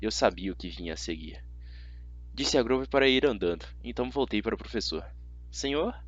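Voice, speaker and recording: A man's voice: mid-range voice, muffled recording. A man with a Brazilian accent reading a first-person story as an amateur audiobook narrator, expressively.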